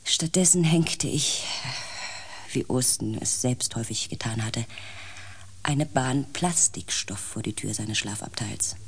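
An actor playing a compassionate narrator and protagonist in a science fiction radio play, gentle and reflective, over faint background noise. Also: male voice, mid-range voice, measured pace, vocal fry, husky. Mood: calm, tender. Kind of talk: radio drama narration and dialogue.

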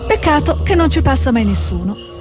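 An actress playing a determined, curious Italian TV reporter in a radio drama, probing and persistent. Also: female voice, high voice, very animated, a little fast, music in the background. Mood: sardonic, indignant.

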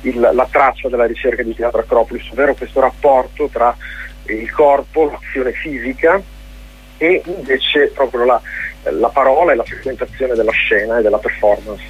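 An Italian man with a husky, slightly rough voice at a middle pitch. He speaks as a festival director being interviewed on the radio, haltingly, circling back on words. The recording is dull and lo-fi. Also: fairly even intonation. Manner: hesitant, halting, with repeated words.

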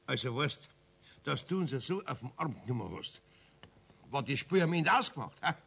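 A man playing a blunt, hot-tempered Bavarian card player in a 1970s radio play, with a gravelly, sharp voice. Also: medium pitch, lively intonation, moderate pace, exaggerated voice. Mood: indignant.

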